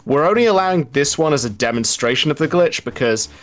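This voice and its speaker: A man's voice: fairly high pitch, expressive, fast, a bit bright. A man giving casual, explanatory live speedrun commentary in a chatty, wry, informal way.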